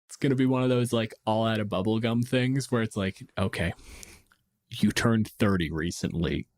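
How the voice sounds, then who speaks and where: A man with a fairly low voice hosts a casual podcast. He explains things in detail with wry emphasis and some swearing. The sound is dry and close. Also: moderate pace, a little mellow, no room sound. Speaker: podcast host.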